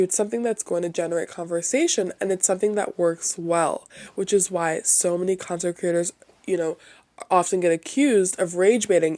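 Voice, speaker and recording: A young woman hosting her own podcast in a chatty solo monologue, her voice raspy and a bit nasal from a recent illness, full of "you know" asides. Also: on the low side, darkish tone. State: recovering from illness, voice raspier and nasal. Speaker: podcast host.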